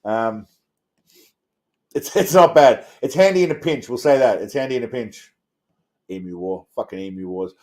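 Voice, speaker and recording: An Australian man with a middling pitch chats off the cuff as the host of a livestream. His delivery is blokey and crude, full of swearing and rambling asides to his viewers. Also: normal speed, long pauses, darkish tone. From Australia, Melbourne suburbs. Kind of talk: casual livestream chat answering viewers. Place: indoors.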